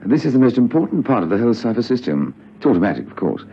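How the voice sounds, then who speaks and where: Offhand, gravelly security clerk, dull radio. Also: male voice, medium pitch, big pitch swings, very fast. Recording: dull, noisy old radio recording.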